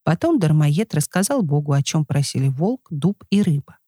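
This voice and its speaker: A woman reading a scripted folk tale as an expressive storyteller. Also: deep voice, moderate pace, dry recording, studio quality.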